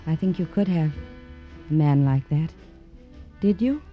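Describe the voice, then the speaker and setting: Moody radio noir femme fatale. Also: female voice, deep voice, animated, measured pace, lo-fi sound, faint music behind. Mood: probing, seductive. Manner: intimate, fragmented, questioning. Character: moody, seductive femme fatale, lonely and probing. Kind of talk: 1950s radio noir acting.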